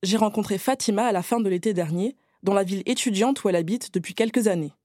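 A woman journalist narrating a personal, scripted account in a calm storytelling manner. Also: medium pitch, fast talker, no echo, studio quality.

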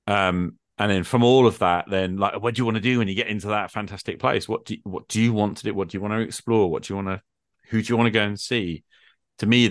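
A man talks in a low register, recorded dry with little room sound. He muses in a casual, reflective way, feeling for his phrasing and tossing out rhetorical questions. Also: no room sound. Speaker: podcast speaker, tabletop gamer.

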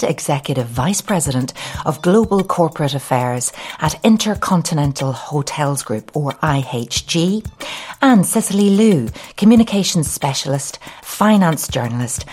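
A woman's voice: sits low, a little mellow. A woman hosting an interview podcast, speaking in a polished, scripted presenting style and complimentary towards her guests. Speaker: podcast host.

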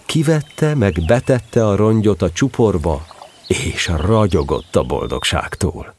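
A man's voice: fairly low pitch, lively intonation, darkish tone, slight background noise. A man narrating a scripted children's story as part of an audio drama.

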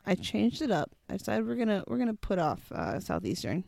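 Woman, medium pitch, a touch congested, casual podcast co-host, dry room-free sound. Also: huge pitch swings, brisk, vocal fry, a little mellow, dry recording. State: slightly congested. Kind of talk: casual chat.

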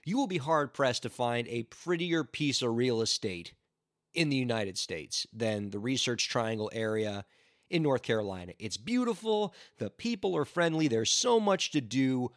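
A man hosting his own podcast in an upbeat, chatty and earnest way, his voice hoarse from laryngitis. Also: mid-range voice, animated, moderate pace, dry recording, clean studio sound. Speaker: podcast host. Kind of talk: casual solo podcast talk.

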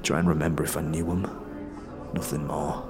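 A man's voice: extremely low pitch, flat delivery, normal speed, vocal fry, music in the background, voice pushed down. A man voicing a rueful, plain-spoken working man with slangy talk in a fantasy audio drama. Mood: resigned, wry. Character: gruff, rueful working man with thick bullish neck.

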